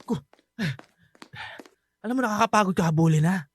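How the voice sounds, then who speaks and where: A man's voice: high voice, normal speed. An actor playing a cocky, playful young Filipino man in a Taglish radio drama, teasing and sheepishly hesitant. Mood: pleading. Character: Eros, cocky, playful young male friend. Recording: faint music behind the voice.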